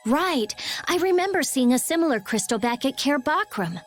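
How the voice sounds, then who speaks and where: A woman playing a brisk, curious teenage girl researcher in an English game dub, with a blunt manner. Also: sits high, sing-song, faint music behind.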